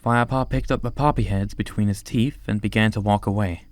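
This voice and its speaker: A male narrator reads scripted storybook prose. The voice is fairly low, and the sound is dry with no room on it. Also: fairly even intonation, brisk, no echo.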